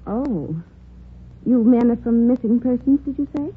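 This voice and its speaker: A woman's voice: fairly high pitch, measured pace, husky. A woman playing a courteous, faintly officious hotel desk clerk in a 1940s radio drama, with formal, precise speech. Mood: puzzled, helpful. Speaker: actress in a radio detective series. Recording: tinny 1949 radio recording, faint music.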